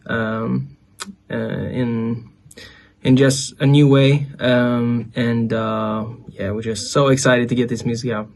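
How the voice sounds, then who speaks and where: A man from Sweden with a Swedish accent, a musician answering interview questions in a relaxed way with many fillers. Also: mid-range voice. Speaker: musician guest.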